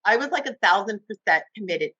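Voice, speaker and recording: Animated woman telling her story. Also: mid-range voice, fast talker, slightly rough, no room sound, dull audio. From Cuba. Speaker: TV host guest. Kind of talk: interview conversation.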